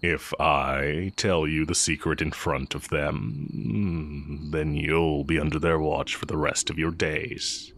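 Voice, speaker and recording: Male actor as evil magic teacher, very low gravelly voice, slow taunting drawl, sly, coolly teasing, fantasy audio roleplay. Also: fairly even intonation, a bit bright, exaggerated voice. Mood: sly, menacing. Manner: unhurried, musing, taunting drawl with drawn-out pauses. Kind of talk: intimate audio roleplay acting.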